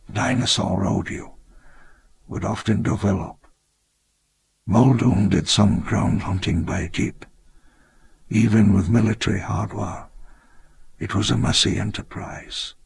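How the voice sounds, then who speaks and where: A slow, gravelly old park founder. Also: male voice, fairly low pitch, exaggerated voice. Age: older. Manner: measured, reflective, grandly solemn. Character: elderly grandiose dinosaur-park founder, wistful. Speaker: narrator of his own memoir. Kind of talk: scripted memoir narration for a game.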